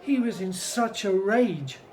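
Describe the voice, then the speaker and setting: A man's voice: sits high, expressive, slow, a little mellow, low-quality recording. A man's voice from an actor plays a serious Hebrew brother, troubled yet reassuring. The delivery is biblical radio drama, with a little background noise. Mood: troubled, weary. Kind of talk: religious radio drama acting.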